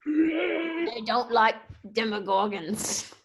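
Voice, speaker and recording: A woman's voice: fairly high pitch, animated, extremely slow, breathy edge, heavily acted, poor audio. A woman playing a brash, aggrieved monster newsboy in an improvised comedy sketch, blustering and emphatic. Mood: sulky.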